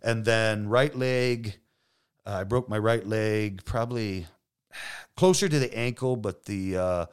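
A man with a deep, loud voice telling stories casually in a studio, joking and swearing as he acts out bits of dialogue. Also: unhurried, clean tone. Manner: joking, profane, frequent quoted dialogue. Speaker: podcast guest, injured police detective. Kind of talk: casual storytelling. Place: podcast studio.

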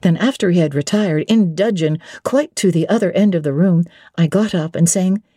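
Mellow, low-voiced woman reading literature. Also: normal speed, dry recording, studio quality. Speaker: narrator. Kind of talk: dramatic literary audiobook narration.

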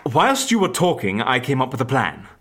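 A man playing an eccentric, theatrical agent in an audio drama, with a husky voice and a flamboyant, animated manner. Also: mid-range voice, moderate pace. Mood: exasperated, eager. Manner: animated, flamboyant, old-fashioned exclamations. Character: eccentric, theatrical male agent who stages fake deaths.

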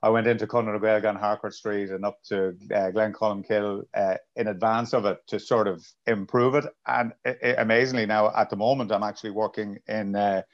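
An Irish man filmmaker talking thoughtfully in an interview, restarting sentences and pausing often. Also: lowish voice, fairly even intonation. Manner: thoughtful, with many restarts and "uh" pauses. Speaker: filmmaker, guest. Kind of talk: casual interview chat. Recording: dull lo-fi audio.

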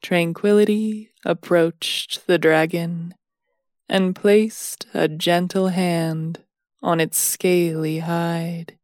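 A woman reading a scripted bedtime story in a soothing, measured manner, leaving long pauses between phrases. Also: fairly low pitch, steady tone, darkish tone, no echo, clean studio sound.

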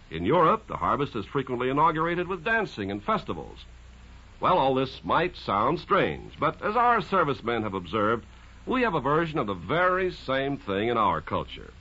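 A man's voice: mid-range voice, huge pitch swings, normal speed, bright, forceful tone, husky, muffled recording. An American man reading scripted announcements as a radio announcer in a mid-century broadcast style. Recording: band-limited old radio broadcast with music.

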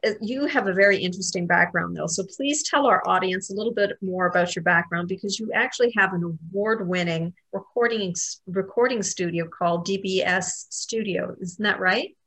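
A woman's voice: medium pitch, a little fast, few pauses, a bit bright, low-quality recording. A woman co-hosting an interview, asking friendly questions with a few restarts. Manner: friendly, asks with many restarts. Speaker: co-host.

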